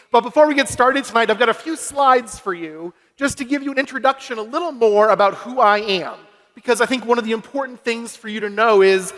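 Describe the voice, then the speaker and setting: A man's voice: high-pitched, bright, forceful tone, long reverb tail. A young man from Massachusetts giving an upbeat, self-deprecating keynote speech and working the crowd at a youth conference. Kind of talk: energetic stage speech to a youth audience. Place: large convention hall stage. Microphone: stage microphone through a PA.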